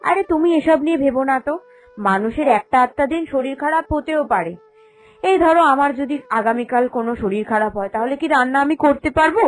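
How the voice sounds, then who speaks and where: A woman playing a dutiful, kindly young daughter-in-law in a cartoon, earnest and gentle. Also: high voice, expressive, a little fast, faint music behind, poor audio. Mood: reassuring. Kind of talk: animated cartoon dialogue.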